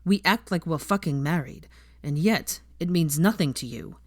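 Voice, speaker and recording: Woman doing a hurt angry young guy in an audiobook, pleading and bitter, lowish voice, dry close sound. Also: expressive, normal speed, no room sound. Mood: furious. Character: anguished, angry young man, wounded and desperate lover. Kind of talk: dramatic audiobook character acting.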